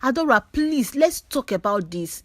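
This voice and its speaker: Female narrator as an alarmed man, pleading, raised pitch, dramatic storybook delivery. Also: very animated, normal speed, dry recording, clean studio sound. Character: startled, pleading man facing his wronged ex.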